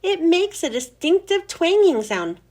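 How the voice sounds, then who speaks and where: A woman voicing a kind but firm female schoolteacher who speaks in a gently instructive way in a storybook reading. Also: extremely high pitch, very animated, a little slow, pitched above the performer's own voice, heavily acted.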